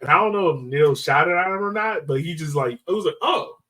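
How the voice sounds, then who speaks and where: A man's voice: a little high, expressive, fast, pushed and bright. A man co-hosting a sports podcast, chatting casually like a fan in loose, informal phrasing peppered with "like". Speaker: soccer podcast host.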